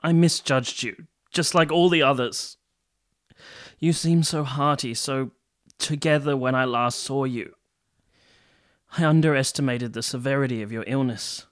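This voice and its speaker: A man acting a courteous, reserved young army captain in a radio play, speaking in a formal, measured, literary way. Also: mid-range voice, natural voice. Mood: apologetic. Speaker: actor and narrator in a radio play. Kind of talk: literary first-person narration and radio drama dialogue.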